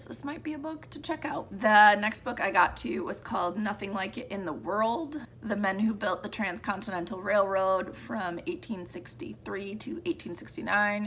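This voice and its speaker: A woman with a deep, cracking voice chatting casually about the books she read, often trailing off and restarting. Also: fairly bright tone, slight background noise. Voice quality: deep, gravelly voice. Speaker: book vlogger. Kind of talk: casual monthly reading wrap-up.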